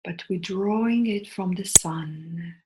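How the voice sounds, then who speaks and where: A woman leading a guided meditation in a soft, soothing, breathy voice that often drops toward a whisper, with long pauses between short phrases. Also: fairly low pitch, noisy background.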